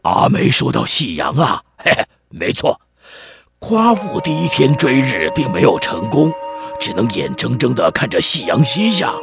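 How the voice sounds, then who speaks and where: A man's voice: mid-range voice, huge pitch swings, normal speed, gravelly, music in the background. A man voicing a theatrical middle-aged village chief, a long-winded, avuncular storyteller in a children's radio drama. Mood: dramatic narrating. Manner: theatrical, mock-solemn, avuncular.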